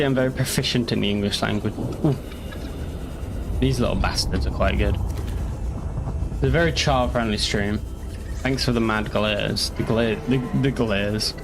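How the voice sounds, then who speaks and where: A man's voice: mid-range voice, noisy background. A young man chatting casually while streaming a game, rambling and joking with the odd curse. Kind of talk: casual gaming commentary.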